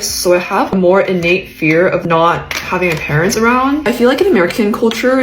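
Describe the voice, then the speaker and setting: Woman in her 20s from the US, mid-pitched, earnest personal testimony.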